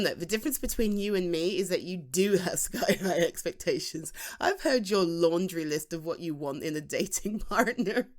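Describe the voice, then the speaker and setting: A British woman playing a teasing, self-deprecating best friend in her late thirties in a chatty, halting ASMR roleplay monologue. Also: fairly low pitch, close to an everyday voice. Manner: halting, chatty, with sighs and chuckles. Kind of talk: ASMR roleplay acting, intimate conversational monologue.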